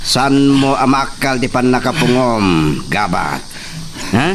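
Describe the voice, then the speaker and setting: A man's voice: mid-range voice, takes it slowly. A man playing a cruel henchman who threatens and taunts, in an audio soap drama. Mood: taunting menace. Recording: distant mic, faint background noise.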